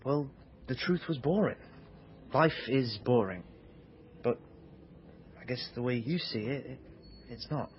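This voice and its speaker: A man's voice: medium pitch, unhurried, long pauses, highs cut off, a little hiss or hum, lightly acted. Dry, sheepish young man played by an actor. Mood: defensive. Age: young adult. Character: young man, sardonic, bashful slacker friend at a bar. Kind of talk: naturalistic radio drama acting.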